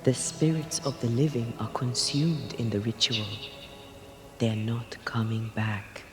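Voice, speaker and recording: An actor playing a calm, loyal companion in a video game, steady and intimate in manner. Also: male voice, mid-range voice, unhurried, music in the background, close to an everyday voice. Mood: calm, firm. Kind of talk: naturalistic game drama acting.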